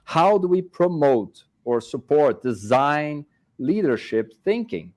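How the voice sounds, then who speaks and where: A man's voice: on the high side, very animated, slow, a little mellow. Male moderator reading questions. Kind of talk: moderating a panel.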